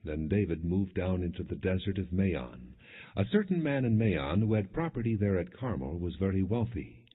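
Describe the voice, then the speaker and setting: A man narrating scripture from a script in a measured, solemn manner, with a husky voice. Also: low-pitched, big pitch swings. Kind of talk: scripted narration, non-dramatized Bible reading. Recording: muffled, phone-like narrow band.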